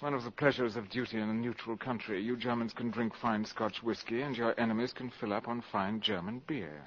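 An actor playing a suave, cynical valet turned spy in a radio drama, with a polished, mocking manner. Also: male voice, mid-range voice, fairly even intonation, brisk, a little hiss or hum, natural voice. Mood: cool, teasing. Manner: polished, mocking, cool and superior. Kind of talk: 1950s radio drama acting. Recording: muffled old radio broadcast recording.

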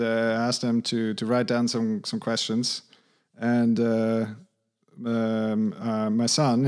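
A middle-aged man hosting a podcast, asking questions in a friendly, halting way full of fillers. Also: mid-range voice. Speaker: podcast host. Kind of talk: interview questions.

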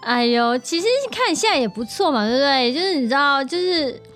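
A woman's voice: high-pitched, lively intonation, brisk, fairly bright tone, soft background music. A woman with a Taiwanese Mandarin accent asks questions in a casual, curious, teasing chat as a guest podcaster.

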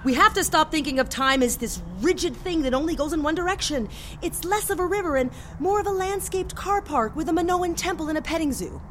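A whimsical, obliging female guide in an audio comedy drama. Her voice is high, airy and matter-of-fact, over faint background ambience. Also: lively intonation, brisk, a bit bright, slight background noise. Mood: airily explaining. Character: whimsical, obliging woman guide through time.

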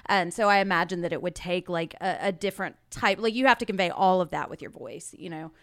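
A woman's voice: lowish voice, quick pace. A woman co-hosting a podcast, speaking conversationally as she interviews a guest.

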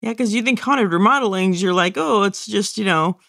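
A woman's voice: medium pitch, a little fast, creaky, a bit bright, no echo, clean studio sound. A woman co-hosting a casual review podcast in a blunt, informal way. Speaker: podcast co-host.

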